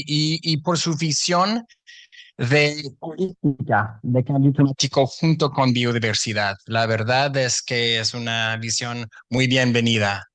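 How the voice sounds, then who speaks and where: A man from Canada answers on a panel with a Canadian English accent. He speaks slowly and hesitantly, with frequent fillers. The sound is dry and close. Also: medium pitch, a bit bright, no echo.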